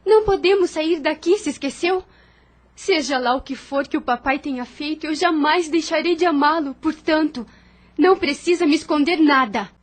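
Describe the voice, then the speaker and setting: A woman's voice: sits high, moderate pace, close to an everyday voice. A woman voicing a firm, worried young sister who questions her brother insistently in an audio drama.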